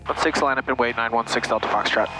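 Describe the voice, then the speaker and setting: A man's voice: medium pitch, fairly even intonation, fast, music in the background. A man flying a small plane, speaking through an aviation headset in clipped radio phrasing and casual explanation. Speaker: private pilot and flight instructor giving tips. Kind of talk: cockpit radio calls and casual teaching while flying. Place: small airplane cockpit in flight. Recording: noisy aircraft cockpit, engine drone.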